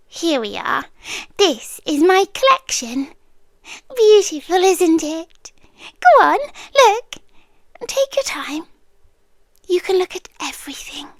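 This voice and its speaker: A British woman playing a possessive, centuries-old monster girl in an ASMR roleplay, softly coaxing and sweetly sinister, often dropping into a breathy whisper. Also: high-pitched, no room sound, heavily acted. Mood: proud, enchanted. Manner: slow, coaxing, eerily reassuring with dramatic pauses. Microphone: close ASMR microphone, dry sound.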